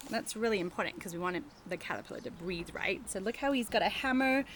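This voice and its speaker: Lady teacher doing storytime, really lively up and down voice, chatty, keeps asking the kids questions, some background noise. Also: medium pitch, fast. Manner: engaging the children with questions and tag questions. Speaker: teacher reading aloud to young children. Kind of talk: animated picture-book read-aloud with chatty asides.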